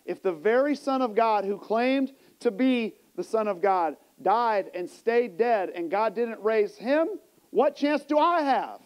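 Male preacher, 40s, high voice, emphatic sermon delivery shifting between soft and forceful phrases, clip-on mic in a church. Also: lively intonation, unhurried. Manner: emphatic, rhetorical questions, swings between hushed and raised delivery. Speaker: pastor. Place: church sanctuary stage, indoors. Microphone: small mic clipped to the chest.

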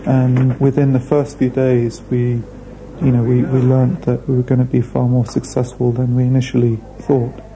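A man talks through a documentary interview in a measured, hesitant way. His voice is dark and gravelly with little pitch movement. A faint hiss runs under the old video recording. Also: monotone, rough recording. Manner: measured, thoughtful, hesitant fillers. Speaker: restaurant founder. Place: indoor room.

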